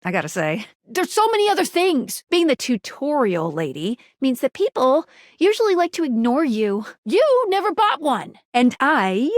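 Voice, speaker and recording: A woman's voice: high voice, wildly swooping pitch, moderate pace, no room sound, studio quality. A woman voicing an eager, friendly but self-doubting video game tutorial guide, a talking gadget with a chirpy, quippy and slightly awkward manner. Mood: mildly exasperated. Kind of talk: animated video game voice acting.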